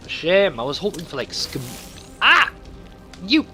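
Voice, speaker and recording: A man's voice: a little high, huge pitch swings, unhurried, sharp tone, soft background music. A man hosting a let's play with casual, rambling gameplay commentary and loose swearing.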